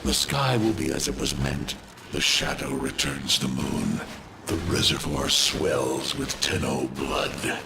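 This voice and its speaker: An actor voicing an ancient, vengeful alien being who speaks in slow, ominous pronouncements. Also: male voice, very deep voice, big pitch swings, noisy background, full character voice. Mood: menacing. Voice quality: gravelly. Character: ancient menacing sentient being, male, huge, vengeful.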